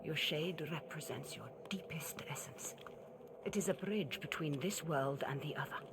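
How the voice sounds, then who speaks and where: Solemn older mentor woman, low-pitched. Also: noisy background. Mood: calm, instructive. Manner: measured, instructive, grave. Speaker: actor in a video game. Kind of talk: cinematic game acting.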